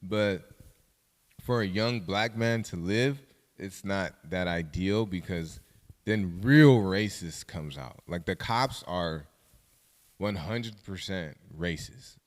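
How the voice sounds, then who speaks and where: A young man from Southern California hosting a casual podcast chat, animated and cursing freely. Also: sits low, slow pace, big-room sound. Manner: animated, swearing, laughing often. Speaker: podcast host.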